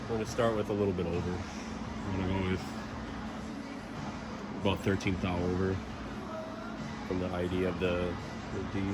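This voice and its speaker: A man with a very low voice talks through a hands-on repair in a loose, informal way, thinking aloud as he works. Clear background noise runs under his words. He sounds like a home mechanic narrating his own tinkering. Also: flat delivery, moderate pace, long pauses. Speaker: DIY mechanic vlogger.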